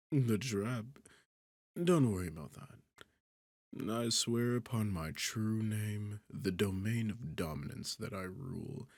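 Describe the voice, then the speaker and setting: A man voicing an arrogant, seductive demon king in an ASMR roleplay, taunting the listener in a condescending, theatrical manner with a husky, gravelly voice. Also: low-pitched, no room sound, clean studio sound, exaggerated voice. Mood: smug, coaxing. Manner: taunting, condescending, theatrical, slow deliberate phrasing.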